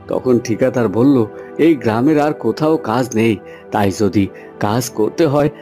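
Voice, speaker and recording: An actor playing a poor, humble and weary village farmhand in a cartoon fairy tale, speaking earnestly. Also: male voice, medium pitch, mellow, lightly acted. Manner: earnest, pleading.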